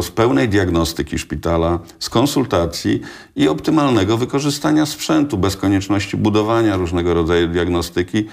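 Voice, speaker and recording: Studio guest, man in his 60s, very low voice, slow measured answers full of hesitations. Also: vocal fry. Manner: measured, hesitant, many filler sounds. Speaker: hospital director, studio guest. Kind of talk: radio studio interview answers. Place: small TV studio.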